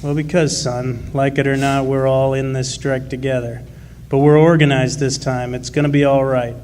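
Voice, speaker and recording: Man as steady, even-toned miner father. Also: medium pitch, normal speed, natural voice. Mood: steady, earnest. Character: earnest working-class miner father, steadfast union man. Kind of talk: live radio drama dialogue. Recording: faint noise, live stage recording.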